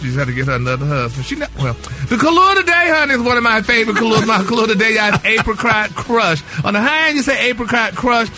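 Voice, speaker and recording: A man's voice: high voice, very animated, fast talker, music in the background. A man reporting celebrity gossip on a morning radio show, sassy and theatrical, peppering his talk with endearments. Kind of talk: gossip segment, animated casual talk.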